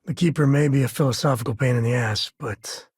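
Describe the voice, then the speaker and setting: An actor playing an easygoing, folksy space cowboy and devoted dad in a video game, with an American accent and a husky, grainy voice. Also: male voice, medium pitch, brisk, darkish tone, no echo, studio quality, natural voice. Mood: thoughtful, earnest. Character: easygoing wry space cowboy, devoted father, adult man. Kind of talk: video game character dialogue.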